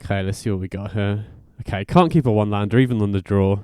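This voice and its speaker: A man's voice: lowish voice, fast talker, darkish tone, clean tone, no echo, clean studio sound. A man giving informal, slangy commentary as host of a card game guide. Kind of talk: casual gameplay commentary.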